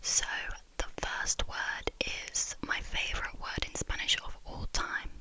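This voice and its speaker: A young woman whispering into a close microphone for an ASMR video, softly repeating trigger words again and again and chatting with small giggles between them. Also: low-quality recording. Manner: soft, slow whisper that repeats words many times. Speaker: ASMR creator.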